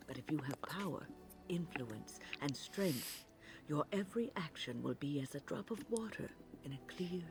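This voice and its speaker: An actor voicing a wise, earnest mentor in a fantasy video game, speaking in a measured, solemn, lecturing way. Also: male voice, a little high. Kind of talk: scripted video game dialogue.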